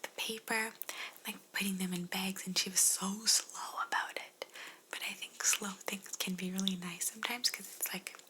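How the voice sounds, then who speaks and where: A woman speaking in a breathy whisper, chatting casually and gently as she answers questions in an ASMR style. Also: mid-range voice, poor audio. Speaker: ASMR video creator answering viewer questions.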